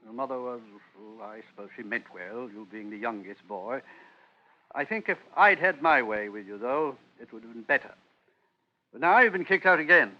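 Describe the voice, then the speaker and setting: A man's voice: mid-range voice, lively intonation, normal speed, lightly acted. A man acting stern British father, dull radio sound. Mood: exasperated. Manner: curt, scolding, formal. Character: stern, disappointed, authoritarian English father. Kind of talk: vintage radio drama acting. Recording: dull vintage radio recording.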